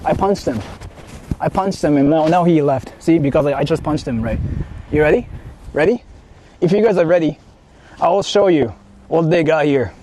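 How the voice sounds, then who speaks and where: A man's voice: fairly high pitch, normal speed, pauses often, dark tone, poor audio. A young man with a Japanese accent, chatting casually and jokingly on a live stream from outdoors, rambling with lots of fillers and repeated words. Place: outdoors in wind. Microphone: phone on a live stream. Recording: wind noise on a phone mic.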